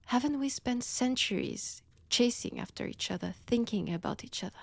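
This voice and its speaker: A woman's voice: fairly high pitch, creaky, no echo. A woman with a French accent playing a centuries-old vampire, wounded and obsessive, in an intimate roleplay audio, often dropping into whispers. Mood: shaken, pleading. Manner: slow, deliberate, theatrical with long pauses.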